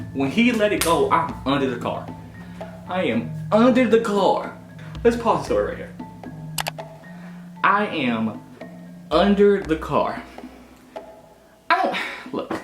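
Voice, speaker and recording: Animated, expressive American man telling a story. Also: fairly high pitch. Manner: expressive, self-mocking, acts out dialogue. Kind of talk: casual animated personal storytelling. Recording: roomy echo with music playing behind. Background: music.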